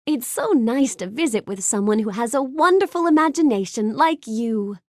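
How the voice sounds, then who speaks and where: A woman's voice: on the high side, expressive, moderate pace, no echo, clean studio sound, lightly acted. A woman voicing a sweet, polite, bookish young fairy-tale heroine in a video game, gracious and full of earnest wonder. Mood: gentle, curious. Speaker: video game character voice actress. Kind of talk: animated game character lines addressed to the player.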